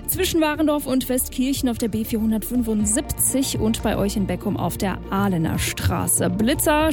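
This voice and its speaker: A woman presenting a radio morning show in a chatty style, recorded in a studio. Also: a little high. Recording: music bed behind studio voice. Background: music.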